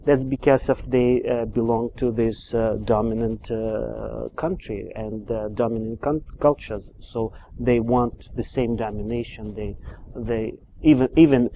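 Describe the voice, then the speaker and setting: A middle-aged man with a Moldovan accent talks slowly through his story, pausing on lots of "uh"s and restarting his sentences. His voice is dark and mellow. There is a faint hiss of noise behind him. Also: mid-range voice, fairly even intonation, creaky, poor audio. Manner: hesitant, many fillers and restarts. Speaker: immigrant interviewee. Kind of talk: oral history interview.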